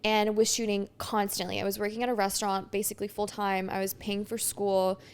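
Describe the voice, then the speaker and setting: Chatty young woman, smooth voice. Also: mid-range voice, flat delivery, a little fast. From France. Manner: chatty, upbeat, lots of "like". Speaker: podcast guest. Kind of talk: casual chat.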